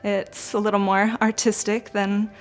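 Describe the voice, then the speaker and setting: A woman's voice: medium pitch, little pitch movement, measured pace. A woman in her twenties talking candidly and reflectively about her own skin. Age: 20s. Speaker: participant. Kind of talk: candid conversation.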